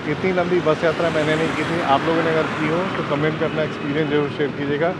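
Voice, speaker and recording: A man's voice: a little high, flat delivery, fast, very noisy. Chatty male travel vlogger outdoors. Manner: chatty, gives tips straight to camera. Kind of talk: casual travel vlog narration. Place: outdoors on city streets and a rooftop. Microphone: clip-on lapel mic.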